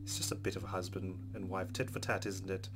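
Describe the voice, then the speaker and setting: A man's voice: low-pitched, level tone, fairly quick, faint music behind. A man reading a visual novel aloud as a let's play host, with casual commentary.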